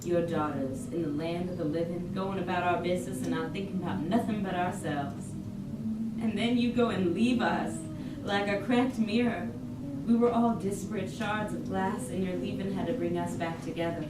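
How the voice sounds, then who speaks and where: A woman's voice: fairly low pitch, moderate pace, natural voice. A woman performing a staged reading as a grieving daughter, speaking solemnly and poetically to her dead mother. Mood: grieving, resolute. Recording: distant, echoey room, very noisy.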